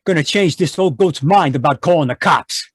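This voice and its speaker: An actor playing a hot-tempered American small-time crook in an old-time radio crime drama, talking in gruff, slangy tough-guy style. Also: a little high, moderate pace, no echo. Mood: threatening. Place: performed from home on a video call.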